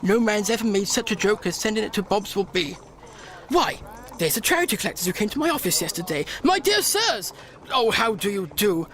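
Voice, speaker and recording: An actor playing a reformed old miser, an excitable, generous gentleman with an effusive, exclamatory manner in a radio play. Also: sits high, a little fast, exaggerated voice. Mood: giddy delight. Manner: exclamatory, effusive, repeats phrases. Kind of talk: radio drama acting.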